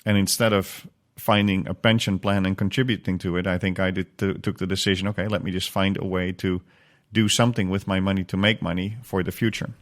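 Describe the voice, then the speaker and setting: A man's voice: deep voice, little pitch movement, a little fast. A man with a Dutch accent talking conversationally in a reflective way, sometimes searching for his words. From Netherlands. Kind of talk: conversational, personal account.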